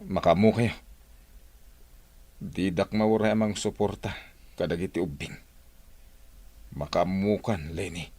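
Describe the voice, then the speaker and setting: Gravelly pleading village husband played by a man. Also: deep voice, fairly even intonation, unhurried, long pauses, darkish tone, no echo, exaggerated voice. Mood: subdued, bitter. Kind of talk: radio drama acting in Ilocano.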